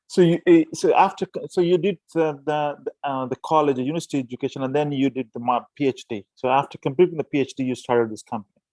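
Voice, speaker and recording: Podcast host, man, mid-pitched dry voice; halting, repeats words while building questions. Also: a little mellow, dry recording, low-quality recording. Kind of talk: hosting an interview.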